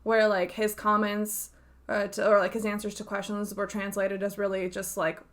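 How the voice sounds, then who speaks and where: Woman, co-host, mid pitch, earnest, rambling, quick casual chat. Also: little pitch movement. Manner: earnest, rambling, quick, with many fillers and restarts. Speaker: podcast co-host.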